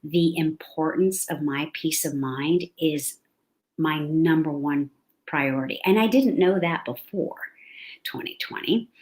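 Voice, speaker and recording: A woman with a fairly low voice hosts an interview show. She asks her questions in bursts, restarting phrases and shifting between quick and drawn-out stretches. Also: a little mellow. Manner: restarts phrases, speeds up and slows down. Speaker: host. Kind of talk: interview hosting.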